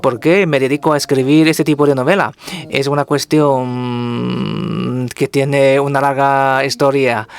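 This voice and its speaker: A man interpreting for a guest author at a literary talk, careful and halting, often restarting his words. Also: medium pitch, level tone.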